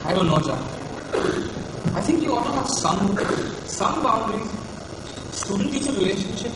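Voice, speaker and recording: A man's voice: sits high, very animated, normal speed. Man acting a dry nerdy teaching assistant, very echoey. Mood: reproving, sarcastic. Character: mild, nerdy young male teaching assistant, principled, dry. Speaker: amateur stage actor. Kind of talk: amateur stage play acting. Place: large indoor hall, stage play. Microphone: far-off recorder. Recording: echoey hall, far off, noisy.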